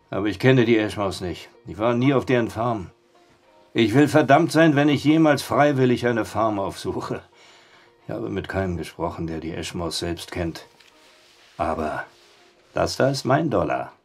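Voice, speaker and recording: An actor playing a reluctant, money-minded small-town storyteller in a radio drama, with a folksy manner. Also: male voice, lowish voice, measured pace, faint music behind. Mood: bargaining.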